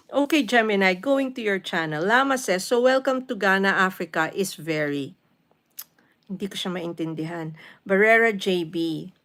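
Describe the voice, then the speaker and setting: A woman's voice: medium pitch, fairly bright tone. A woman of fifty-nine with a Filipino accent hosts a casual livestream, reading out and answering viewer comments in a motherly, encouraging way and sometimes switching between English and Tagalog. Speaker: livestream host.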